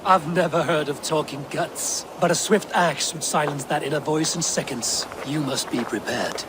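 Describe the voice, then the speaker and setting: A seasoned Viking warrior gives orders in a steady, commanding way, acted by a man. His voice sits slightly high. Loud ambient game noise plays behind him. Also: natural voice. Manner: measured, commanding.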